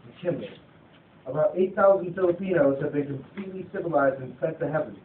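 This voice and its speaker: A man's voice: on the high side, a little fast. Distant, tinny young man reading narration. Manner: stumbles over words while reading. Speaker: narrator of a student puppet show. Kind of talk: scripted narration read aloud. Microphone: phone from across the room. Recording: tinny phone recording, distant, echoey, noisy.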